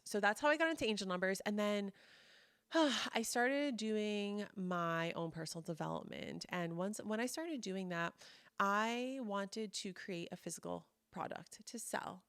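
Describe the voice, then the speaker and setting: A woman's voice: medium pitch, dry recording. Chatty woman in her thirties, dry sound. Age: 30s. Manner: chatty, lots of "like" fillers, animated quoting. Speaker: podcast host and coach. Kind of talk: casual storytelling monologue.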